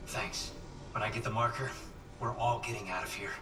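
An actor playing a practical, determined space engineer who stays calm under pressure in a game. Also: male voice, on the low side, normal speed, roomy sound, far from the mic, background noise, lightly acted. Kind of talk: game acting. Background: music.

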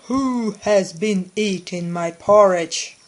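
A woman's voice: medium pitch, takes it slowly. Woman acting a stern, roaring papa bear. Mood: angry, stern. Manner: roaring out questions. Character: big angry father bear, stern and loud. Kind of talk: children's storybook character.

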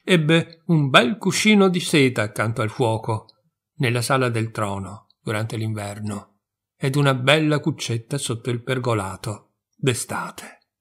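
A man reading a fairy tale aloud as a storyteller, with animated, expressive narration. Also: mid-range voice, unhurried.

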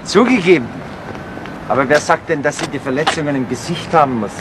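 A man's voice: fairly high pitch, lively intonation, fairly quick, close to an everyday voice. An actor playing an officious, stubborn male police detective in a 1970s crime radio play, curt and insistent. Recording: noisy old radio recording.

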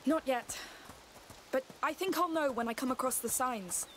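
An actress playing a gentle, weary but resolute young woman in a dramatic video game, speaking softly and earnestly. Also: sits high, moderate pace, slight background noise.

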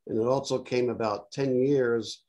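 An older man speaking bluntly and dryly, with many filled pauses, on a video call from a home study. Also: medium pitch, fairly even intonation, darkish tone. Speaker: panelist. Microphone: laptop webcam on a video call.

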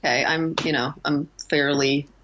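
A woman with a very low voice, chatting casually as an interview guest. She rambles reflectively and often breaks off her own sentences. The recording is a bit dull and lo-fi. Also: steady tone, normal speed, fairly bright tone.

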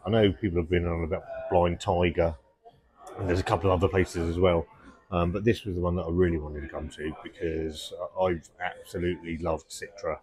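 A man's voice: low-pitched, darkish tone. A man in his forties, seated in a pub, chatting casually and enthusiastically about beer, with lots of ums and restarts. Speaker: beer reviewer. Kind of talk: casual vlog review. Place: indoor pub, seated at table.

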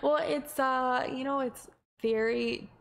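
A young woman with a hoarse, nearly lost voice, chatting casually on a live stream in a small room at home. She rambles and giggles, with lots of fillers. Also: high-pitched, steady tone, takes it slowly, a bit bright, slight background noise. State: hoarse, voice mostly gone. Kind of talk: casual live-stream chat between songs. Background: her own ukulele strumming.

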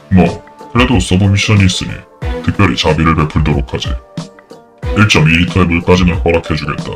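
A cold, very deep game master. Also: male voice. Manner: cold, commanding announcements. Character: menacing unseen male game master M. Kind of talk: audio drama acting.

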